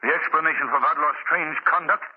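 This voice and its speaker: An actor playing a resolute, commanding sleuth hero in a 1930s radio drama, with crisp, dramatic delivery. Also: male voice, on the high side, fairly even intonation, fairly quick. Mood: grave, explaining. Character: commanding heroic amateur sleuth, cool and resolute. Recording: muffled vintage 1930s radio recording, organ music.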